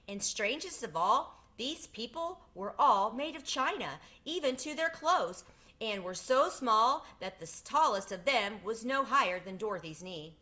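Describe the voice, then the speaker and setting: Bright-voiced woman reading a storybook aloud. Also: on the high side, animated, a little slow. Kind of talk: children's storybook read-aloud.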